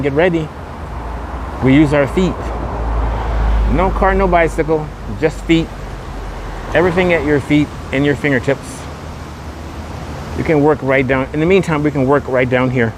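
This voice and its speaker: A man hosting a casual walking tour outdoors, talking in repetitive promotional patter. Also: a little high. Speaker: podcast host and walking tour guide. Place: outdoors on a shopping mall street. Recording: noisy outdoor street with passing cars.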